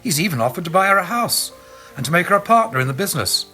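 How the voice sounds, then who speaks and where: Brief: hesitant Australian woman friend, put on by a male narrator, raised pitch, stammering apology. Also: expressive, fast talker, pushed and bright, faint music behind. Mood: flustered. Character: Australian woman friend, awkward bearer of bad news. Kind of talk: audiobook character voice.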